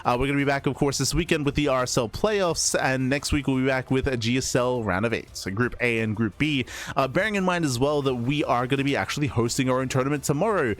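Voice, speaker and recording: A man's voice: medium pitch, quick pace, hardly any pauses. A man casting esports, winding down a stream in a chatty, rambling way, his voice still recovering after he lost it. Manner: chatty, rambling with fillers and restarts. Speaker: esports caster. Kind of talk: casual stream sign-off.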